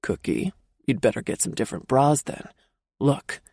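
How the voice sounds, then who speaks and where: A woman voicing a low, gravelly teasing husband. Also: animated, moderate pace, a little mellow, no echo, clean studio sound, exaggerated voice. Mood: teasing, commanding. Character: confident playful adult man, husband. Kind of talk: audiobook character voice.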